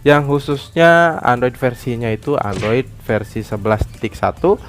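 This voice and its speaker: Man narrating tutorial over music. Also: medium pitch. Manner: explanatory, often tags sentences with "ya". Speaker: tech tutorial YouTuber. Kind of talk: screen-recorded app tutorial narration. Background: background music.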